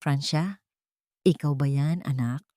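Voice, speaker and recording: Casting: female reader as a scheming, menacing older matriarch in an audio drama, pious on the surface, lowish pitch. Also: slow pace, mellow, no room sound, studio quality. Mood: falsely tender. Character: greedy, scheming, cruel older mother feigning piety.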